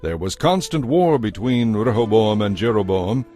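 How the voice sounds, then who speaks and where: A man's voice: lowish voice, expressive, warm tone. Male Bible narrator over music. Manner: measured, formal storytelling. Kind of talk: scripted narration of dramatized Bible.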